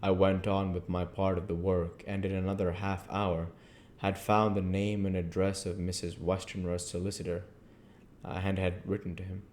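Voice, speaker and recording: A man reading a novel aloud at a measured pace, with occasional slips and restarts. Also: deep voice, little pitch movement, darkish tone, slight background noise. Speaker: audiobook reader.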